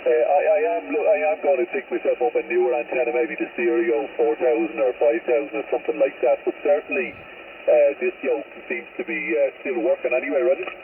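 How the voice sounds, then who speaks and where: A man's voice: mid-range voice, steady tone, quick pace, vocal fry, far from the mic, narrow, tinny audio. A man with an Irish accent chatting casually as a radio operator over a two-way link. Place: mobile in a vehicle, over CB radio. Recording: noisy, crackly, thin two-way radio transmission.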